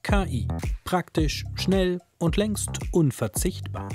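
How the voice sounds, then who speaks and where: A man's voice: sits high, animated, slow, music in the background. A man narrating a scripted television news magazine report.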